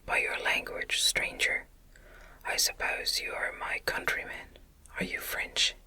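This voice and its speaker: A woman voicing a kind, blind old man in a whispered audiobook, courteous and measured. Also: pushed and bright. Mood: kindly, consoling. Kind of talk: whispered ASMR audiobook reading.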